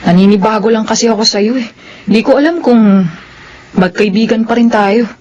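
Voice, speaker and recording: An actress with a Filipino accent playing a guarded young tomboy, resistant and plaintive, in a radio drama. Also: female voice, fairly high pitch, moderate pace, a little mellow, lo-fi sound, natural voice. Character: guarded young tomboy who identifies as male.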